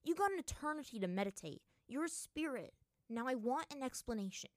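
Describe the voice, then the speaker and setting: A woman's voice: sits high, sing-song, unhurried, no room sound, studio quality, pitched above the performer's own voice. A woman voicing an impatient, hot-headed male Avatar who talks in a demanding, exasperated way in a story reading. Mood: harsh, exasperated.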